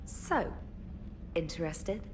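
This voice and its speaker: A woman's voice: on the low side, big pitch swings, slow, a little mellow, slight background noise. A woman playing a witty, cheeky young starship communications officer in a video game, with a playful, teasing manner.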